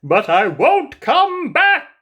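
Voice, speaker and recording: A woman's voice: high-pitched, huge pitch swings, slow, a bit bright, voice pushed up, full character voice. A woman voicing an eager, grandiose young storybook prince, calling out in a declamatory way. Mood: huffy.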